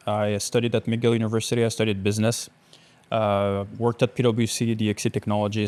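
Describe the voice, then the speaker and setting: A man's voice: fairly low pitch, a little mellow. A man in his late twenties from Algeria, talking casually as a guest in a podcast interview.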